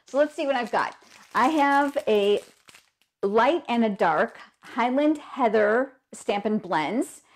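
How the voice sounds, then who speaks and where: A woman's voice: a little high, expressive, slow pace. Froggy-voiced woman hosting a live stream. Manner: chatty, greets viewers by name. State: froggy voice from a cold. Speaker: host of a live stamping show. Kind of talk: casual live-stream chat.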